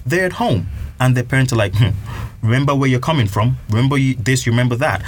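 A man's voice: medium pitch, fast talker, a little hiss or hum. A man from Nigeria telling personal stories earnestly in a casual chat, building his points with repeated phrases. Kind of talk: reflective storytelling in casual chat.

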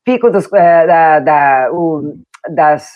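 A lively woman foreign correspondent who speaks Brazilian Portuguese with Italian touches. She laughs often and restarts her sentences as she reports live from abroad. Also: sits low, slow pace, narrow, tinny audio.

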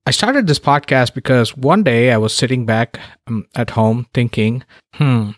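A man from India hosts his own podcast in an earnest, emphatic monologue with the odd wry aside. His voice sits at an ordinary middle pitch. It is recorded close on the mic in a dry, deadened space. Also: no room sound. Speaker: podcast host.

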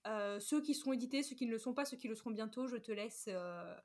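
A woman's voice: a little high, fast talker, a little mellow. A woman hosting a podcast, chatting in a friendly interview style.

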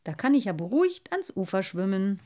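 A woman's voice: mid-range voice, very animated, a little fast, mellow, natural voice. A woman voicing a merry, self-satisfied little water sprite boy in a storybook, bold and playful. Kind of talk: storybook character voice.

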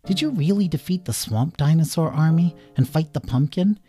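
Man playing an adoring, animated young prince. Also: fairly high pitch, moderate pace, soft background music, voice pushed up, close to an everyday voice. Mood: awestruck.

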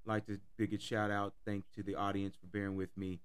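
Male podcast host, hoarse, low-pitched, smooth tone, enthusiastic. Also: little pitch movement, brisk. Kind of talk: casual interview.

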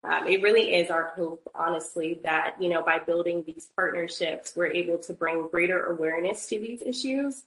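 Echoey, lowish-voiced woman on a panel. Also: normal speed, hardly any pauses, fairly bright tone, a little hiss or hum. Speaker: panelist, rideshare founder. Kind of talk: panel discussion answer. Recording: roomy, echoing online call audio.

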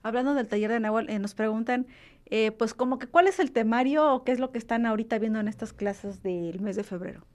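A woman's voice: fairly high pitch, brisk, darkish tone. A woman interviewing a guest, asking conversational questions and rephrasing them as she goes.

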